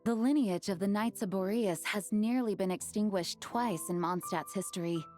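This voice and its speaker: A woman voicing a composed, dutiful young knight leader in a video game, speaking formally, earnestly and in a measured way. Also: medium pitch, a little mellow, soft background music, lightly acted. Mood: grave, reflective. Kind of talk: video game voice acting, scripted dialogue.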